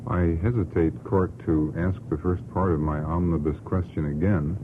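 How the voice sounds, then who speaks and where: Very deep-voiced, sardonic man. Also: fairly even intonation, moderate pace. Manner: dry, sardonic, mock-polite. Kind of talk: skeptical questioning on a talk show. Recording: noisy, tinny old radio recording.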